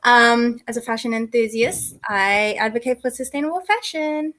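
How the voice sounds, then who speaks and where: Giggly, bright-voiced young woman. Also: fairly high pitch, moderate pace. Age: 20s. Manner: giggly, shy. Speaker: pageant contestant. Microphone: video call.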